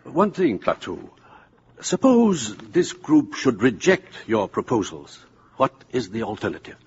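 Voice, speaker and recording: An actor playing an earnest, inquisitive professor, hesitant and deliberate, in 1950s radio drama style. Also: male voice, medium pitch, huge pitch swings. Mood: earnest, uneasy. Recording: dull, noisy 1950s radio recording.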